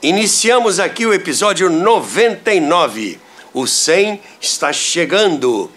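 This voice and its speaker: A man's voice: on the high side, a bit bright. An older Brazilian man presenting scripted narration in a dramatic, emphatic storytelling manner.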